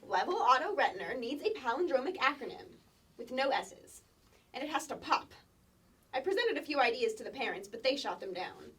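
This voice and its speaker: Woman playing high-voiced bossy little girl. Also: very animated, moderate pace, fairly bright tone, slightly rough, far from the mic, exaggerated voice. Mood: smug. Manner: pompous, officious. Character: bossy, precocious kindergarten girl managing her twin brother. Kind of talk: staged sketch comedy reading.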